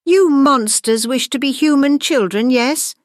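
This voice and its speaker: A woman's voice: sits high, unhurried, no echo, studio quality, voice pushed up. A woman voicing a composed, wry, knowing witch who teaches school, in a storybook. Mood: composed, instructive.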